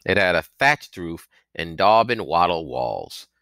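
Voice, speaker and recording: Deep-voiced man reading a story, crisp and close. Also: big pitch swings, a little slow, sharp tone, no room sound. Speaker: reader of a children's novel. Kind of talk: scripted audiobook narration with character voices.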